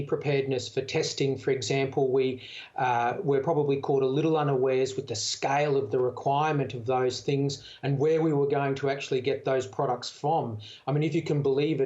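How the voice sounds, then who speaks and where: A man's voice: mid-range voice, a little mellow, dull audio. A man with an Australian accent speaking conversationally as a medical expert in an unscripted interview. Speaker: doctor, medical association spokesman.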